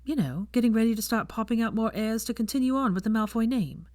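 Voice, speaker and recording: Woman playing a prickly, guarded scholar, animated pitch. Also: quick pace, no room sound, studio quality, natural voice. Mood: cool, defensive. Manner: cool, pointed, controlled.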